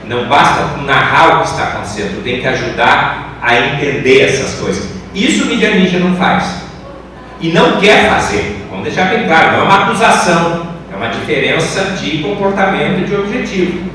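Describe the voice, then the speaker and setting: A man's voice: on the high side, expressive, brisk, bright, forceful tone. A Brazilian man, a professor on a panel, explaining his points emphatically and didactically. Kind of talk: panel discussion answer. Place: large echoing room at a university event. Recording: very echoey room, distant mic, clear noise.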